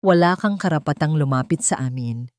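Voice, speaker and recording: A woman's voice: low-pitched, lively intonation, brisk, a little mellow, no room sound. Narrator as a fierce young heroine, female, indignant, confrontational, protective, dramatic audio story, dry recording. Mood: angry. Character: defiant young woman fiercely protecting her family.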